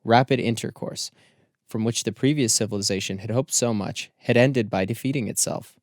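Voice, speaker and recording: A man reading scripted literary narration as an audiobook narrator, with measured, deliberate phrasing. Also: mid-range voice, no echo, studio quality.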